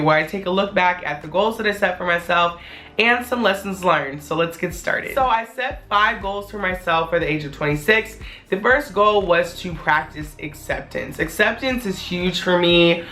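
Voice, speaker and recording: A woman in her twenties talking casually to her viewers in a vlog, her voice a little hoarse as it returns, chatty and candid. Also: on the low side, a bit bright. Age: 20s. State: hoarse, voice not fully back. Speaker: vlogger.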